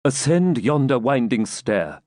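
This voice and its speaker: A man's voice: mid-range voice, sing-song, a little slow, a little mellow, no room sound, studio quality. A man voicing a proud, stern Norman knight in an audiobook, with theatrical archaic speech. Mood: sullen submission. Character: defiant proud Norman knight commander, beaten into sullen submission.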